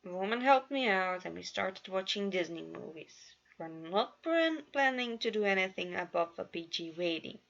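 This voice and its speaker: A woman's voice: mid-range voice, expressive, moderate pace, gravelly, few pauses, fairly bright tone, lo-fi sound. A woman voicing a guarded, anxious young man in a story reading, dry and terse. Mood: weary.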